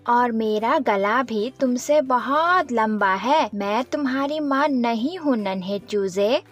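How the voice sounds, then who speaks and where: A woman voicing a kindly, proud peahen in a children's animated story, explaining things reassuringly. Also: sits high, pitched above the performer's own voice, heavily acted. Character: kindly, proud, long-necked adult peahen.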